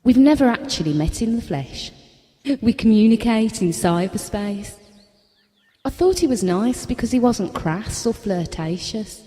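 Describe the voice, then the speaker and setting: A woman's voice: medium pitch, big pitch swings, a little slow, mellow. An actress playing a guarded, teasing woman in an audio play, wry and probing. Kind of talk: short audio play dialogue. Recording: long hall-like reverb.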